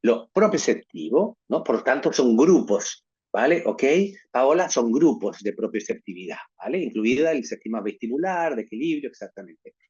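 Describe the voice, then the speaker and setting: Male lecturer on a video call, husky voice, dull muffled audio, asks "right?" a lot. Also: mid-range voice, animated, normal speed, a little mellow. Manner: peppers talk with tag questions. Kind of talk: online lecture to teachers.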